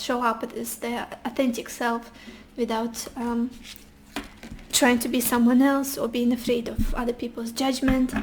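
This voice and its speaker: A woman with a high voice that has gone hoarse, as if she is losing it. She gives a relaxed tarot reading, talking gently and reassuringly straight to her listener, with a faint hiss in the background. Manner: gentle, reassuring, addresses the viewer directly. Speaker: tarot reader. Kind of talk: casual pick-a-card tarot reading.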